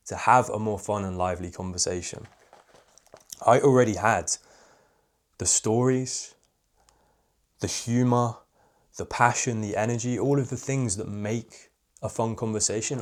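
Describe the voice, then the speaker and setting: A young man talks straight to camera about social confidence, in a candid, reflective way. His voice sits a bit low and fairly monotone, loosened by frequent "like" fillers. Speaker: social skills coach. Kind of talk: casual talking-head advice video.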